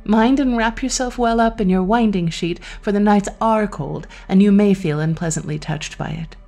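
A woman's voice: mid-range voice, normal speed, mellow, faint music behind, pitched above the performer's own voice, close to an everyday voice. Woman voicing a sardonic, boastful devil. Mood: mocking, boastful. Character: sardonic male devil, boastful, falsely friendly tempter. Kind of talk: dramatized storybook reading.

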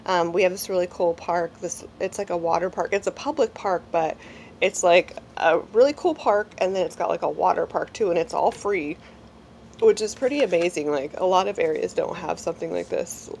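A woman's voice: fairly low pitch, normal speed, vocal fry, darkish tone, a little hiss or hum. A woman in her forties with a congested, slightly nasal voice from a cold, chatting casually and rambling through a beauty product review at home. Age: 40s. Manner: chatty, rambling, lots of fillers. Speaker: beauty vlogger. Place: small indoor room at home.

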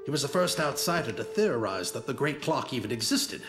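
A man's voice: mid-range voice, very animated, a little fast, natural voice. A man voicing a proud, learned older alien general in a game, formal and articulate. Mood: explanatory. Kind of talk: video game cutscene acting. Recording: music behind.

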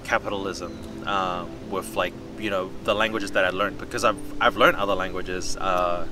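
A young man talking casually and reflectively about himself, in a halting, thoughtful way with slangy phrasing. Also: low voice, steady tone, background noise. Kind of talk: reflective personal interview, casual speech. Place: outdoors in a city park. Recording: distant mic outdoors, music underneath.

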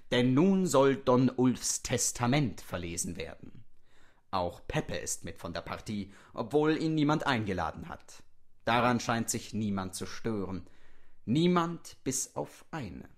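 A man's voice: fairly low pitch, expressive, a little slow. A man narrating an audio drama in a scripted storytelling style.

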